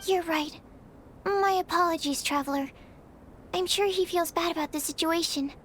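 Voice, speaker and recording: Timid young alchemist, very high voice, stammering, apologetic, polite. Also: female voice, normal speed, fairly bright tone, a little hiss or hum. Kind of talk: video game voice acting.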